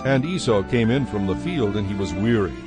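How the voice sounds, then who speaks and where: A man narrating dramatized scripture in a solemn, measured manner. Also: on the low side, expressive. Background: music.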